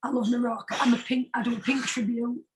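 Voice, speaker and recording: A British woman with a husky voice chatting casually as a singer being interviewed, informal and emphatic, often repeating herself for stress. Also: fairly high pitch, flat delivery, a little fast. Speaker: singer-songwriter guest.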